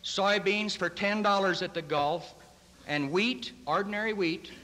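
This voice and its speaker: A man, a grain department director, giving a brisk, detailed proposal in a convention address. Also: high-pitched, pushed and bright. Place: large convention hall. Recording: reverberant convention hall.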